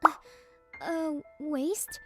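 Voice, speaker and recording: A woman voicing a shy, gentle teenage girl in an anime-style dub, speaking softly and hesitantly. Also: sits high, steady tone, extremely slow, full character voice. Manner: soft, hesitant. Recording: music bed behind voice.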